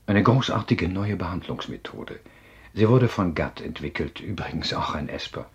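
A man playing a self-assured psychiatrist in a radio drama, explaining in a measured, courteous, husky lecture. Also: deep voice, animated, highs cut off, exaggerated voice. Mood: assured, explanatory. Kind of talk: German radio drama acting.

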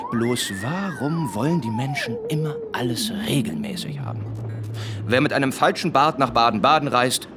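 An actor playing a suave, evasive, boastful young murder suspect in a German radio drama, theatrical and mocking. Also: male voice, medium pitch. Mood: mocking, defiant.

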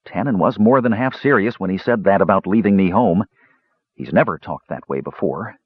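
A man's voice: low voice, moderate pace, breathy edge, dry recording, phone-quality sound. A man voicing an earnest, touchy, studious youngest brother, a prim young mage in a fantasy audiobook. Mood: doubtful.

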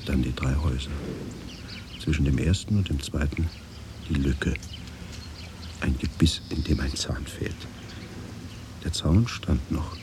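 An actor playing a haunted, brooding man who recalls a wartime childhood in a hushed, measured, introspective radio drama narration. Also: very deep voice, noisy background. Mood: brooding, reflective. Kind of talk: radio drama, reflective first-person narration with dialogue.

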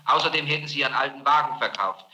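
A man's voice: medium pitch, fast, bright, forceful tone, echoey room, a little hiss or hum, poor audio. A man interrogating a witness, confronting him pointedly with his earlier statements on an archival tape.

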